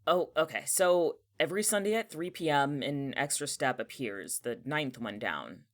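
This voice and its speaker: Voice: mid-range voice, lively intonation, normal speed, a bit bright, close to an everyday voice. An actor in a naturalistic audio drama playing an exasperated, protective young person with a casual, sardonic, blunt way of talking. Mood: urgent, exasperated. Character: exasperated, protective young person who knows the town's dangers.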